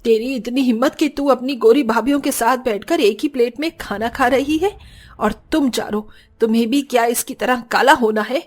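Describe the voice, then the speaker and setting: Casting: female actor as cruel middle-aged cartoon mother-in-law, raised pitch, harsh scolding manner. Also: moderate pace, close to an everyday voice. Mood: angry, hateful. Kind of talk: Hindi cartoon story acting.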